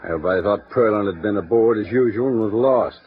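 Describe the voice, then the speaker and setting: An actor playing a bluff, gruff small-town newspaper editor who talks in a blunt, folksy way, in a 1950s radio drama. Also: male voice, fairly low pitch, fast talker, natural voice. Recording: muffled vintage radio broadcast with faint hiss.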